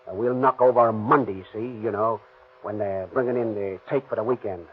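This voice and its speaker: A man's voice: on the low side, normal speed, breathy edge. An actor playing a ruthless, bossy gangster in 1930s radio crime acting, talking American tough-guy slang. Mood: cool, scheming. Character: ruthless, cocky gangster planning and bossing a robbery. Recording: scratchy, noisy, narrow-band 1930s radio.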